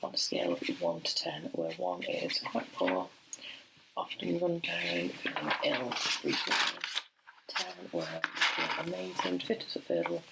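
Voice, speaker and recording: A middle-aged woman speaking softly in an ASMR doctor role play, breathy and often slipping into a whisper, gentle and unhurried, close to the microphone. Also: very deep voice, sing-song, heavy background noise. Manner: gentle, unhurried, hesitant pauses. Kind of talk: soft-spoken ASMR medical role play.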